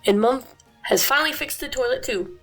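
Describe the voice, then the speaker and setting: A woman reading a children's picture book aloud, animated and expressive, her voice a little tired. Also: high-pitched, fairly quick, faint music behind. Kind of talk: read-aloud narration.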